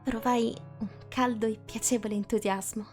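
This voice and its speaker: A woman's voice: on the high side, fairly even intonation, normal speed, darkish tone, soft background music. A woman reading a first-person story aloud in a live group reading, in a casual setting.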